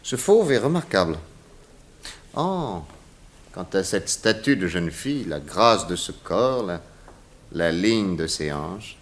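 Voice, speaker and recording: An actor playing a shrewd, composed private detective in a 1960s French radio serial, measured and probing. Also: male voice, deep voice, sing-song, full character voice. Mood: suave, feigned interest. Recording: noisy vintage 1960s radio recording.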